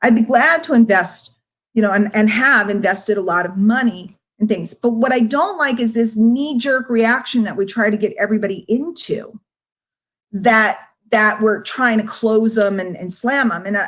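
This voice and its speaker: A woman's voice: fairly high pitch, normal speed, a bit bright. A middle-aged woman speaking casually to her online followers as a marketing coach, candid and rambling, with emphatic points made straight to the listener. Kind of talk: casual live-stream chat to followers.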